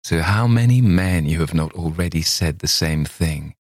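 A man reading a novel aloud, voicing a stern, priggish gentleman who lectures in a measured, disapproving way. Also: low-pitched, no echo, studio quality. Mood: cool. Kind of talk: audiobook character voice.

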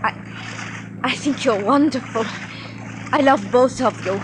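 A breathless young woman just rescued in a 1940s radio drama, stammering in a high, unhurried voice. A clear hiss sits under the old recording.

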